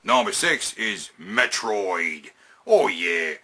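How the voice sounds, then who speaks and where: A man's voice: on the low side, big pitch swings, takes it slowly, vocal fry, heavy background noise. A man hosting a casual, opinionated countdown, talking in emphatic, sweary slang and repeating phrases to drive them home. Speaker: host of a video game and movie countdown.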